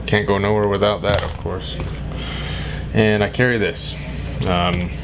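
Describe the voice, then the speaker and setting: A man in his mid-thirties, an amateur filmmaker, talking through a halting, rambling personal video diary full of fillers. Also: fairly low pitch, vocal fry. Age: 30s. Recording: loud background noise.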